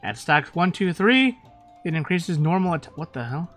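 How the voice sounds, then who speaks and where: Female streamer, casual gameplay commentary, playful, excitable, very lively pitch, faint music behind. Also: normal speed. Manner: excitable, playfully echoes and reacts. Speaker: game streamer.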